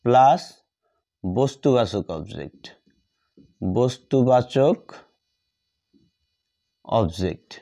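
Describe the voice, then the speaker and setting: Man, grammar teacher, very slow, dull muffled recording. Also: medium pitch, animated, warm tone. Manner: slow, repeats words while writing them out. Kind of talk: slow classroom explanation while writing. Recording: dull lo-fi audio.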